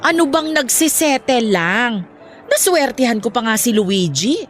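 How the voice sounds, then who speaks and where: A woman's voice: fairly high pitch, big pitch swings, unhurried, slight background noise. A woman with a Filipino accent playing a playful but firm middle-aged mother in a radio drama. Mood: firm, pleading.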